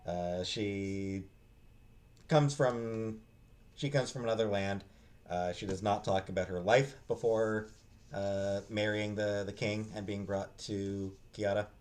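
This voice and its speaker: A man giving a casual, unscripted book talk in a halting way, searching for his words. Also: fairly low pitch, steady tone, a little mellow. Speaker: book reviewer, host.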